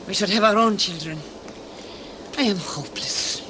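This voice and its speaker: An actress playing a devoted, worried wife in a radio play, speaking in an earnest, pleading way. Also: female voice, medium pitch, normal speed, lightly acted. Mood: pleading, sad. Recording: noisy ambient effects behind.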